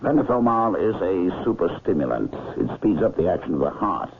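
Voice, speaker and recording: An actor playing a wry, cautious doctor in a radio drama, speaking in a measured, noncommittal, dry way. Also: male voice, low voice, big pitch swings. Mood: clinical. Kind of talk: 1970s radio drama acting. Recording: muffled lo-fi old radio broadcast, hiss.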